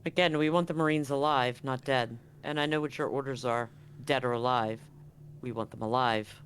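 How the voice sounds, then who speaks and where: A man's voice: mid-range voice, fairly even intonation, normal speed, fairly bright tone, lightly acted. Man acting arrogant corporate woman agent, cold, dismissive, controlled, tabletop game, faint background noise. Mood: firm, menacing. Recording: live stream with background noise.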